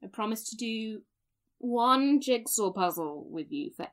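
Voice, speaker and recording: A woman's voice: on the high side, expressive, measured pace. A woman playing a bubbly, teasing, glamorous young British woman in an audio drama, with playful dramatic flourishes. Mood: cheerfully insistent. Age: young adult. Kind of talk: naturalistic full-cast audio drama dialogue.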